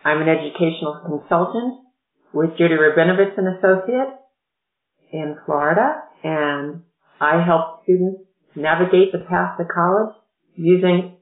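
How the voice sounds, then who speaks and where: A woman educational consultant explaining college admissions in a measured, explanatory way during a podcast interview. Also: lowish voice. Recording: echoey, tinny phone-like line.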